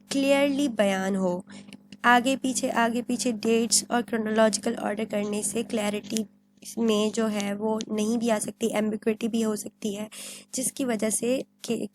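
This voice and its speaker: A woman's voice: fairly high pitch, no echo. A woman lecturing on legal material, explaining point by point and mixing in English terms. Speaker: law lecturer.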